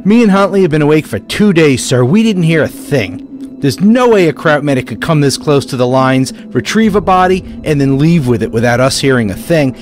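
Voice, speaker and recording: An actor playing an anxious, insistent American army private in a war audio drama, blunt and pessimistic. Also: male voice, fairly high pitch, expressive, a little fast, over music, natural voice.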